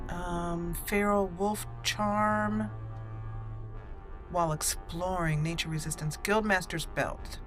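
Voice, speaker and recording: A woman's voice: lowish voice, fairly even intonation, slow pace. A woman hosting a gaming channel, giving casual gameplay commentary in a chatty, playful way. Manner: chatty, playful, laughs at her own jokes. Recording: faint game music behind.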